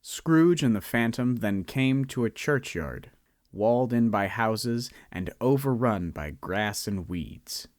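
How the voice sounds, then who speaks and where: A young man narrating a radio drama in a measured, storytelling style. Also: medium pitch, animated, no room sound, clean studio sound. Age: young adult.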